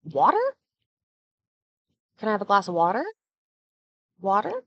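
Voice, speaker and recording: A woman's voice: mid-range voice, wildly swooping pitch, measured pace, no room sound, highs cut off. A young woman hosting a casual livestream, chatting freely and answering viewers' comments as they come in. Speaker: livestream host.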